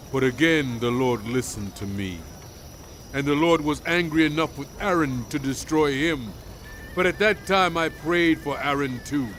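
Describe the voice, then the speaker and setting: A stern, grave male prophet rebuking his people in a dramatized scripture audio drama. He speaks very slowly and solemnly, leaning on key words with deliberate oratory. Faint outdoor ambience with insects sits behind the voice. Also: medium pitch, a bit bright, a little hiss or hum. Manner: grave, deliberate, emphatic phrasing.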